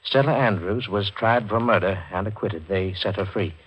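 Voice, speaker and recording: An actor playing a measured hospital doctor in a 1940s radio mystery, questioning a patient in a deliberate, clinical way. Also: male voice, lowish voice, breathy edge. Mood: probing, steady. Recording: muffled vintage radio recording.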